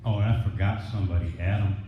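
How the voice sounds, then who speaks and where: Male business owner, informal thank-you speech, plainspoken; dull recording with clear background noise. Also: low-pitched, steady tone, rapid-fire. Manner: plainspoken, colloquial. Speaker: business owner accepting an award. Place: echoey indoor room at a presentation event. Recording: echoey, dull, noisy room recording.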